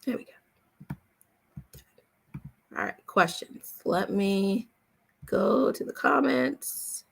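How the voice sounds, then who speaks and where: A woman's voice: medium pitch, lively intonation, slow, gravelly, husky. A woman coaching on a casual livestream, upbeat and encouraging, often checking in with her listeners as she goes. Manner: checks in often with "okay?" and "all right?". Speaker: voice-over coach hosting a live Q&A. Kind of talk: casual livestream talk with promotion.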